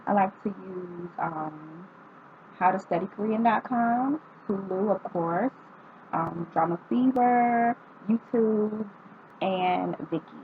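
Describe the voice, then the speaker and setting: A woman's voice: mid-range voice, takes it slowly, phone-quality sound, slight background noise. A woman in her twenties from North Carolina, vlogging casually as she reads out a list of questions and answers each one with frequent ums. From North Carolina, United States. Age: 20s.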